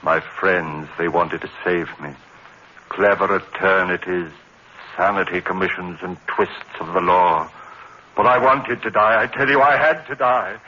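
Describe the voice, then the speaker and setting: A man's voice: sits low, fairly even intonation, unhurried, pushed and bright, dull audio, a little hiss or hum. A man playing an anguished, haunted murderer in overwrought 1950s horror drama style, on an old broadcast recording. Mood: grief-stricken, resigned. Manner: frantic, melodramatic, overwrought. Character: anguished condemned murderer haunted by a demon woman.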